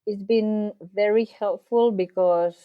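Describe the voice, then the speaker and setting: A woman from Spain, a guest answering interview questions in thoughtful, slightly halting English. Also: mid-range voice, fairly even intonation, phone-quality sound. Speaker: podcast guest, bike traveller.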